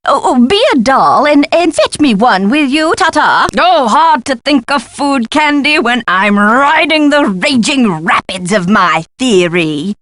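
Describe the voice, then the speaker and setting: Game role: eccentric woman professor, flighty and grandiose, very animated pitch, close dry recording. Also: measured pace, few pauses, no room sound, heavily acted. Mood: giddy, scattered. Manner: flighty, pompous, florid, exclamatory. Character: eccentric, excitable, absent-minded woman history professor. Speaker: video game character. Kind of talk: theatrical video game voice acting.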